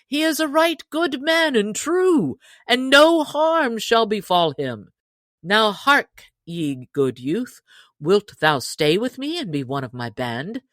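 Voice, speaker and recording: A woman's voice: mid-range voice, a bit bright, dry recording, clean studio sound, pitched above the performer's own voice. A woman reading a storybook, voicing a jolly, bold male outlaw leader with hearty, old-fashioned speech.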